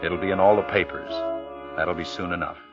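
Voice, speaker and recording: A man plays a tense American killer from a vintage radio thriller. His low voice moves in clipped, intent phrases, anxious underneath and coolly polite on top. The old recording is muffled, with music prominent behind him. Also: fairly even intonation, a little fast, lightly acted. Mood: brooding. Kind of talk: 1940s-50s radio suspense acting, narrating.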